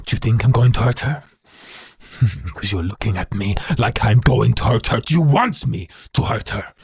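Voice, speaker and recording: A huge, menacing werewolf, low-voiced and heavily husky. He talks slowly and haltingly, with wounded brooding, as in a dramatic audio play, recorded close and dry. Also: dry recording, exaggerated voice. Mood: taunting menace. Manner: slow, halting, deliberate delivery.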